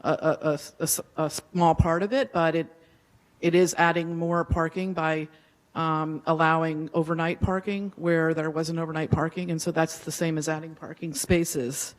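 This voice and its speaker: A woman resident giving an earnest, slightly hesitant public comment at a town council meeting, speaking into a podium mic with a loud voice. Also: low-pitched, little pitch movement, moderate pace. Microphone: podium microphone.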